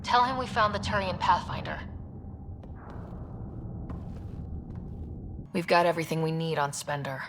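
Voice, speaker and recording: A woman plays a confident young expedition leader in a video game. Her voice sits slightly low, decisive with a wry edge, over faint noise. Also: expressive, quick pace, natural voice. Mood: resolute.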